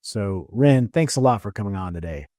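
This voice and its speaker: A man's voice: on the low side, lively intonation, normal speed, mellow, dry recording, studio quality. A man hosting a podcast, opening with upbeat welcoming patter before moving into the interview. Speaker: podcast host.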